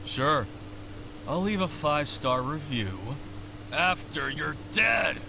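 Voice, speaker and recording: An actor playing a curt, suspicious, threatening bandit boss in a video game, speaking deliberately. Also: male voice, a little high, very animated, heavily acted. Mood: menacing. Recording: game capture with clear background noise.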